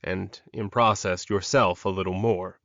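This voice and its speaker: A man voicing a calm, protective male werewolf alpha in an audiobook, measured and reassuring. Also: sits low, no echo, lo-fi sound, voice pushed down. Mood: advising.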